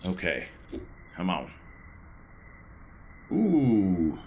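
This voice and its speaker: A man's voice: low voice, wildly swooping pitch, extremely slow. A man playing a tabletop game, chatting casually through his moves, with some background noise.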